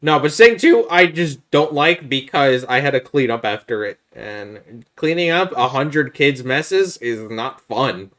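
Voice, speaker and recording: Guy podcast host, mid pitched voice, casual and blunt, critical takes. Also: expressive, unhurried, hardly any pauses, a bit bright. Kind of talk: casual opinionated chat about films.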